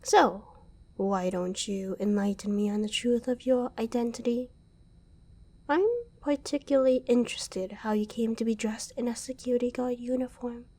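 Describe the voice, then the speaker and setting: A woman playing a cool, taunting secret agent in an ASMR roleplay, speaking with controlled, teasing menace and deliberate pauses. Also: fairly high pitch, fairly even intonation, mellow. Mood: calm, taunting.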